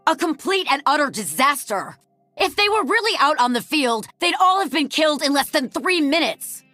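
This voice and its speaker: A woman's voice: high voice, big pitch swings, normal speed, sharp tone, no room sound, soft background music, heavily acted. Strict, commanding female combat coach. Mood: scornful. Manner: stern, commanding, clipped. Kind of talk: English video game voice acting.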